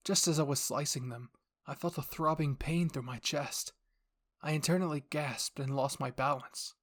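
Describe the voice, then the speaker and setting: A narrator reading a first-person fan fiction story in a dramatic, suspenseful way, dropping softer at tense moments. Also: mid-range voice, steady tone, normal speed. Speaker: narrator and voice actor of all characters.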